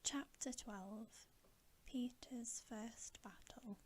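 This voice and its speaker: A woman reading a bedtime story as ASMR in a soft, breathy whisper with a gentle, hushed delivery. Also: a little high, fairly even intonation, drawn-out words, a little hiss or hum. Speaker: ASMR reader.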